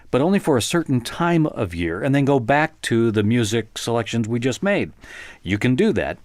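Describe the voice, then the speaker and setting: An American man narrating a software tutorial conversationally, explaining step by step in an informal, encouraging way. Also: medium pitch, dry recording, clean studio sound.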